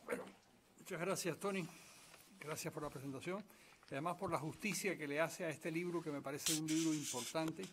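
A middle-aged man moderates a panel at a conference table, thanking the speaker and posing questions in a measured way, with some mid-phrase restarts. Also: a little high, fairly even intonation, fairly bright tone, a little hiss or hum. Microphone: table stand mic.

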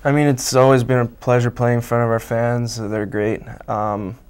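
Here is a young Canadian man in his twenties, answering questions in a relaxed way in a small local TV studio. His voice is smooth and clear but stays flat and level, with frequent "um"s and "I mean"s, picked up by a lapel mic. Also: mid-range voice, moderate pace. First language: Italian. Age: 20s. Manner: relaxed, many fillers like um and I mean. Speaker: college hockey player guest. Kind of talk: casual interview answers.

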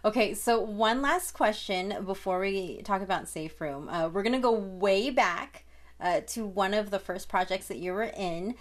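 A woman in her thirties hosts an entertainment interview in a middle-pitched voice. She asks her questions with bubbly enthusiasm from a studio set. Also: unhurried. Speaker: host.

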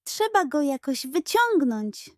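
A woman voicing a cheerful, excitable little girl in a children's audio story. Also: high voice, slow, dry recording, studio quality, voice pushed up, full character voice. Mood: eager, cheerful.

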